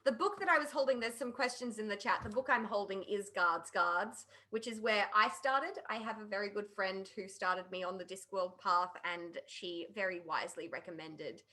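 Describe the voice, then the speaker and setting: A woman's voice: mid-range voice, moderate pace, few pauses, pushed and bright. A woman co-hosting a podcast, talking casually in a panel discussion. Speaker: podcast co-host.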